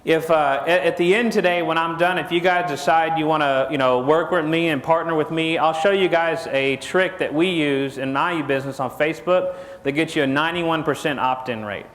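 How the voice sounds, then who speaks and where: Texan guy joking with a crowd, kinda high voice, echoey big hall. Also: brisk, few pauses, a bit bright. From Texas. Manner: folksy, jokey, asks the crowd questions. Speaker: conference speaker. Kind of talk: joking seminar talk to an audience. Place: large conference hall stage. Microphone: lapel mic. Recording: echoey hall with long reverb.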